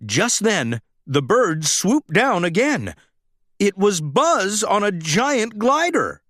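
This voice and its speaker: A man narrating a scripted children's story in a dramatic, expressive, deliberate way. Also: sits high, no echo, studio quality.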